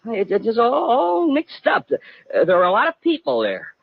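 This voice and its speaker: An American actor in a 1950s radio drama playing a naive, excitable young would-be detective who stammers when flustered and dramatizes himself. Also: male voice, extremely high pitch, normal speed, creaky, no room sound, full character voice. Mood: distraught, confused. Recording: muffled vintage 1950 radio broadcast.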